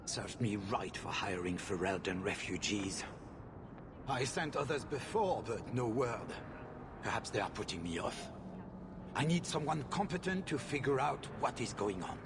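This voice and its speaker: An actor playing an anxious, haughty middle-aged mine owner in a video game, fretful and dismissive, with a gravelly voice. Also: male voice, medium pitch, animated, normal speed, noisy background, natural voice. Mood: impatient, haughty.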